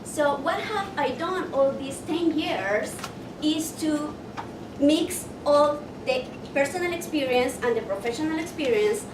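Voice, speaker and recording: A woman from Ecuador, presenting a motivational workshop and asking her audience questions. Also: high voice. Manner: slow and deliberate, asks the audience questions. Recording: echoey room, mic far off, noisy.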